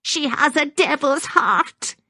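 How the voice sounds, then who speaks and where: A high-pitched, quavering old woman in an audiobook. She is addled and fearful, with a childlike temper and a rattle in her voice. The sound is close and dry. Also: expressive, slow pace, pushed and bright, no room sound, heavily acted. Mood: frantic, ominous. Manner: dramatic, snivelling, childlike. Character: frightened, childlike, addled old Scottish clanswoman.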